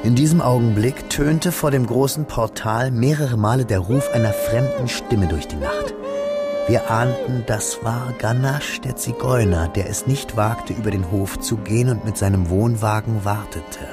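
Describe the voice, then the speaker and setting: An actor narrating a literary radio drama as a reflective, wistful man looking back on his schooldays, measured and solemn. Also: medium pitch, sing-song, loud music behind the voice. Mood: solemn, hushed.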